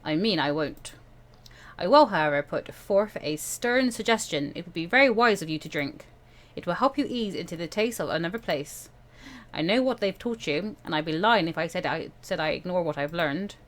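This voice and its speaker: Woman doing a calm, low-pitched stern male mentor. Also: moderate pace, natural voice. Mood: stern, persuasive.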